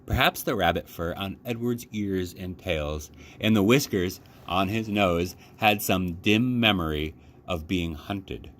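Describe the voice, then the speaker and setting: A man reading a children's book aloud in an expressive storytelling style. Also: deep voice, a little slow, few pauses. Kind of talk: expressive read-aloud narration. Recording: faint background noise.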